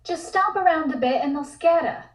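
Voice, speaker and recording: A woman acts out a curious, forthright young painter in a script read. Her voice sits high, and the sound is roomy and echoey. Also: lively intonation, normal speed. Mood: uneasy. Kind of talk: comic script table read.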